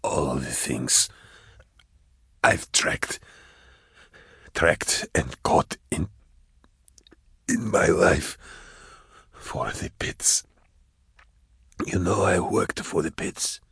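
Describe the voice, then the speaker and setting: A man voicing a mortally wounded hunter who gasps out broken, breathy phrases with faltering pauses in a storybook reading. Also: low-pitched, animated, a bit bright, dry recording, exaggerated voice. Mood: weak, haunted. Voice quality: breathy, broken, halting whisper. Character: mortally wounded male hunter, gasping his last words.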